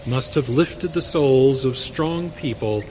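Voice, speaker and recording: Slow male western radio narrator. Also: medium pitch, a little mellow. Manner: measured, storytelling. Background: music.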